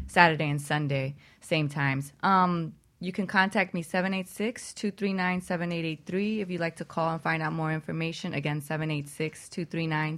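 A woman's voice: fairly low pitch, a bit bright. A woman co-hosting a casual radio show, a yoga teacher chatting and giving out plugs.

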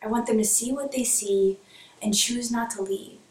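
A woman performing spoken word poetry in a rhythmic, rhyming delivery that sometimes sinks to a hush. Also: a little high, fairly even intonation, moderate pace. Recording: echoey room from far off.

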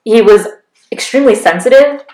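A woman's voice: a little high, lively intonation, brisk, mellow, echoey room, poor audio. A young woman presenting history in a casual chat, explaining with many fillers and laughing easily.

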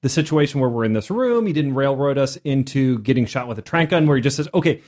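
A man's voice: mid-range voice, expressive, fast, darkish tone. A man co-hosting a gaming podcast, chatting casually as one of the players. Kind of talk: casual chat about gaming.

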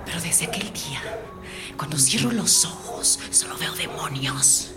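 An actress playing a desolate, vengeful woman in a radio drama, confiding a grave, intimate monologue in a hushed whisper. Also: low voice, animated, measured pace. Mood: desolate menace. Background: loud music.

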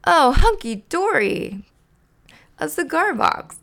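Woman doing a fussy, high-pitched puppet fellow. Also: expressive, moderate pace, gravelly. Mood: crestfallen. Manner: hesitant, fussy. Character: fussy, earnest, easily flustered male puppet friend.

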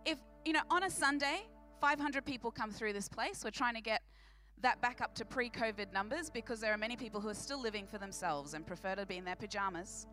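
Female Australian preacher, high and bright, projecting hard with emphatic repetition, music underneath. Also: fairly quick. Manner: emphatic, repeating phrases for effect; big, projecting voice. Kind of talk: sermon to a church congregation. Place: church service.

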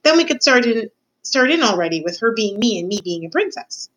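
A woman's voice: a little high, sing-song, a little fast, a bit bright, voice pushed up, poor audio. A woman voicing a simple, wistful, stubborn young servant girl in a storybook, with plaintive, uneducated rustic speech. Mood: eager. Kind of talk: storybook character voice.

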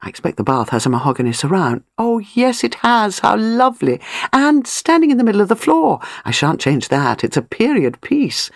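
A woman's voice: medium pitch, big pitch swings, normal speed, gravelly, no room sound, studio quality, pitched above the performer's own voice. Woman acting an eager, lilting young wife. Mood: delighted musing. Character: eager young wife house-hunting, imaginative and impulsive.

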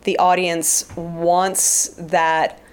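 A woman talking reflectively in an interview as a Foley artist, articulate and thoughtful, with measured phrasing. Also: lowish voice, little pitch movement, smooth. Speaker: guest, Foley artist and theater performer.

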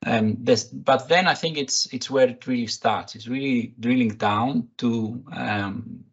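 A man with a Greek accent, a recruitment technology executive answering interview questions in a halting way, full of fillers and restarts. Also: mid-range voice, breathy edge, dull audio. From Greece. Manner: hesitant, many fillers and restarts.

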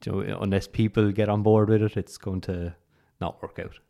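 A man hosting a podcast, chatting in a relaxed, agreeable way. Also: lowish voice, normal speed. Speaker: podcast host. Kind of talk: casual podcast conversation.

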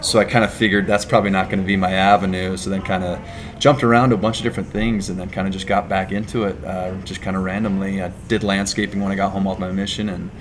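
A young man from California, a business founder telling his story in a casual interview, rambling and relaxed with lots of "like" and "right?". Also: sits low, fast talker, slight background noise.

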